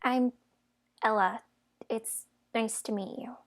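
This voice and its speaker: Young fairytale heroine female actor, high voice, outspoken and emphatic, amateur radio-play delivery. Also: steady tone, slow. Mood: softer, sincere. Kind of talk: amateur audio drama acting.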